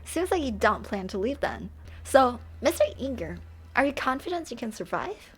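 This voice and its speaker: Lady doing a high voiced teasing songstress girl, playful n bold, game dub with faint music. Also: big pitch swings, moderate pace, husky. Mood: eager, taunting. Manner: playful, taunting, lilting. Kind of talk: dramatic game story dub.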